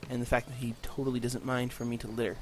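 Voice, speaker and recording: A man's voice: medium pitch, flat delivery, fast talker, a little hiss or hum. A man hosting a gaming channel, chatting casually in let's play commentary with a rambling, self-mocking manner, a bit congested from allergies, in an unpaneled room. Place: room with no acoustic paneling.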